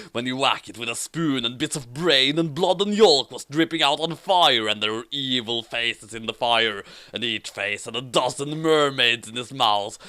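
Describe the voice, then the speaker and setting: Slow, theatrical comic reader with swooping delivery. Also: medium pitch, fairly bright tone, dry recording. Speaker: host reading fan fiction aloud. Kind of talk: comic dramatic reading with casual banter.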